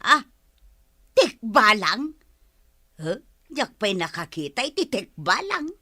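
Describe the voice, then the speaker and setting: A woman's voice: mid-range voice, big pitch swings, slow, bright, forceful tone, breathy edge, no echo, exaggerated voice. An actress playing a nosy village woman in a radio comedy-drama, exclamatory and inquisitive. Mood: amazed, curious.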